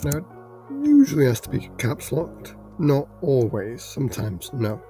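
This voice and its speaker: A man with a cold, his voice hoarse and congested, explaining programming in a rambling casual tutorial. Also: medium pitch, lively intonation, unhurried, dark tone, faint music behind. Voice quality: gravelly, congested. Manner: rambling, restarts with fillers.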